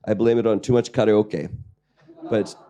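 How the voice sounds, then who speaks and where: A man with a croaky voice giving a lecture to a training class, joking and questioning the audience while clearing his throat. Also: fairly low pitch, fairly even intonation, moderate pace, warm tone. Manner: jokey, engaging the audience with questions. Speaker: lecturer on public-private partnerships. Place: lecture room with live audience.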